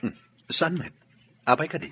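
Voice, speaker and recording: An actor playing a smooth romantic suitor in a radio drama. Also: male voice, a little high, expressive, moderate pace, sharp tone, slight background noise. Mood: casual, evasive.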